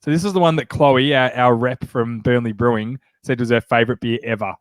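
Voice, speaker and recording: A man's voice: mid-range voice, fairly even intonation, dry recording. A man in his thirties with an Australian accent, hosting a casual sports podcast, sounding nasal from a cold. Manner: chatty and upbeat, quick asides. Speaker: podcast host.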